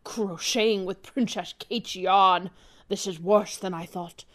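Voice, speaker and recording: A woman's voice: medium pitch, fairly even intonation, unhurried, a bit bright, pitched below the performer's own voice, lightly acted. A woman playing a suave, dramatic male spy in a spoof spy play, speaking in a portentous, melodramatic way. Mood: grave, smug.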